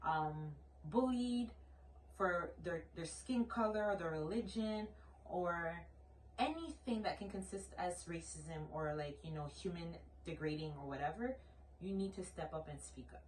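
A blunt, outspoken woman in her late twenties from Canada, speaking with a fairly low voice in a casual podcast chat. She drives her points home with plenty of "like"s. The sound is roomy, with the mic set back from her. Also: a little slow, far from the mic, a little hiss or hum. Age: late 20s. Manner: emphatic, blunt, outspoken, with lots of filler "like". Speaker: podcast co-host. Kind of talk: casual conversational discussion.

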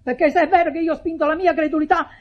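Casting: high-pitched sister, dramatic, imploring and self-justifying, in a muffled radio drama. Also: female voice, steady tone, rapid-fire. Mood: defensive, accusing. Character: pleading, self-justifying sister quarrelling with her brother. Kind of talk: theatrical Italian radio drama acting. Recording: muffled old radio broadcast recording.

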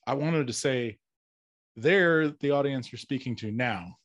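A man's voice: medium pitch, unhurried, fairly bright tone, dry recording, muffled recording. A man chatting casually and enthusiastically as a podcast host. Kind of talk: casual chat.

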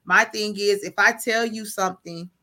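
A woman coach in a casual podcast chat, talking loudly and bluntly in an unapologetic way. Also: mid-range voice, steady tone, moderate pace.

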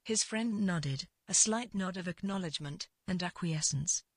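A woman reading literary fiction as narrator, in a measured and deliberate scripted delivery. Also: lowish voice, husky, no room sound, clean studio sound.

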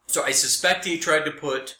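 A man's voice: on the high side, moderate pace, sharp tone. A man co-hosting a podcast, chatting casually in a joking, wry way. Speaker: podcast host. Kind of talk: casual chat. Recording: roomy echo.

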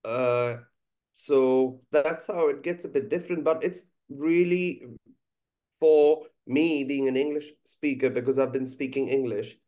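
A man's voice: mid-range voice, warm tone. Man speaking thoughtfully. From India. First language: Bengali. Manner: measured, thoughtful, frequent uh pauses. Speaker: guest musician and teacher. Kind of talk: conversational podcast interview.

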